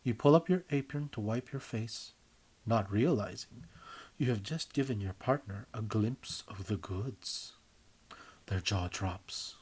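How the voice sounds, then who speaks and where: A man narrating a scripted story in a theatrical, emphatic way, with deliberate phrasing and a husky voice. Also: fairly low pitch, darkish tone. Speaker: writer and reader of own story. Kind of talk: dramatic scripted story narration in second person.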